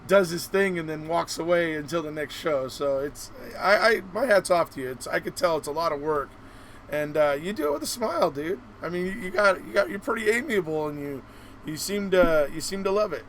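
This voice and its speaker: A man with a fairly high voice hosts a casual interview on a live stream. He talks in an encouraging, meandering way, circling back over his points. A faint noise sits behind his voice. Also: expressive, fairly quick, a bit bright. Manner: encouraging, rambling. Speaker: host of a live online show.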